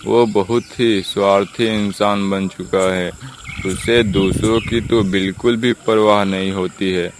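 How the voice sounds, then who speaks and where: A humble, hardworking crow father from a children's cartoon, played by a man. He has a slightly low voice and talks slowly and earnestly. Faint background noise sits behind him. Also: steady tone, natural voice. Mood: weary, concerned. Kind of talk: Hindi children's cartoon story dialogue.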